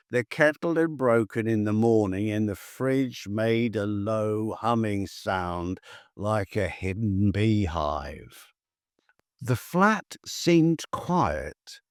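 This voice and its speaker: A man reading his own literary prose for a podcast, measured and deliberate, leaving long pauses between phrases. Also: mid-range voice, no echo, clean studio sound.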